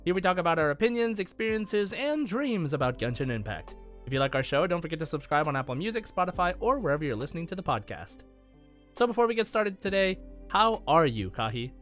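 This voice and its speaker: A man's voice: fairly high pitch, big pitch swings, fast talker, fairly bright tone, faint music behind. A man hosting a podcast in a casual, conversational style, explaining things as he goes. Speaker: podcast host.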